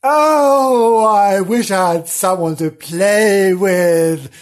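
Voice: medium pitch, sing-song, normal speed, slightly rough. A storyteller voicing a wistful, silly pet sighing for a playmate in a comic children's story. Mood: wistful, whining.

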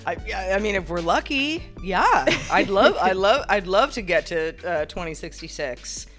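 A woman's voice: mid-range voice, lively intonation, normal speed, fairly bright tone, faint music behind. A woman co-hosting a casual chat podcast, wry and self-deprecating, often restarting her sentences. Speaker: podcast co-host.

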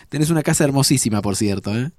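An actor plays a sympathetic male friend in an audio drama. His middle-pitched voice has a Rioplatense accent. He talks informally and expressively, with curiosity, in a very dry recording. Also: darkish tone, dry recording, close to an everyday voice. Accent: Rioplatense Argentine. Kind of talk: naturalistic audio drama dialogue.

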